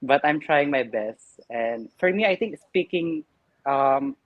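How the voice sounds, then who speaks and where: A man with a Filipino accent, a pageant candidate whose first language is Tagalog, answering earnestly with some halting. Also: fairly high pitch, expressive, no echo, phone-quality sound. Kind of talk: interview answers.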